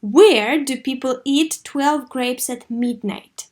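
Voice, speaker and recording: Female English teacher for a kids' video lesson, slightly high pitch, instructive and expressive. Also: slow pace. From Russia. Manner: didactic, expressive model reading with clear questions. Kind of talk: video lesson for third graders.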